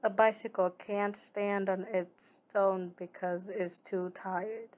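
A woman's voice: medium pitch, steady tone, takes it slowly, a little mellow. A young woman, whose first language is not English, chatting casually and reading viewer comments with frequent giggles and rambling restarts. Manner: giggly, rambling, self-correcting. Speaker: streamer.

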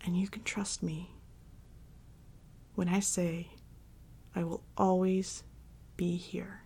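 Casting: actress as devoted, comforting girlfriend for ASMR roleplay; soft mellow breathy voice with fry, often whispered, unhurried and loving. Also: lowish voice, little pitch movement, a little hiss or hum. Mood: soothing, sincere. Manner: soft, soothing, slow and affectionate. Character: tender, devoted girlfriend and mommy dom comforting her partner.

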